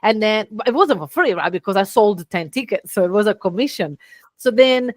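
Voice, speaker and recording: A middle-aged woman with an Italian accent, a guest telling lively stories and acting out quoted dialogue. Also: normal speed, no room sound, clean studio sound. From Italy. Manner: lively, acts out quoted dialogue with big pitch jumps. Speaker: guest, VA agency founder. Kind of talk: animated storytelling in conversation.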